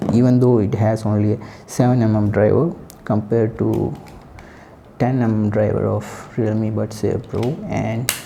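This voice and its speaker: Dark-voiced, gravelly man reviewing gadgets. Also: on the low side, a little hiss or hum. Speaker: tech product reviewer. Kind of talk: casual unscripted product comparison.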